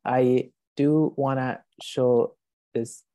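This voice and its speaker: A man, an author presenting as a guest over a video call, gentle and hesitant with many fillers. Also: mid-range voice, steady tone, drawn-out words, dry recording. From Afghanistan. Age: 30s.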